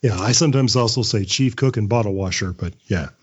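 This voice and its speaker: A man's voice: on the low side, brisk, breathy edge, lo-fi sound. A man speaking as an interview guest in a casual, anecdotal, conversational way, often chuckling as he talks. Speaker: interview guest, writer and actor.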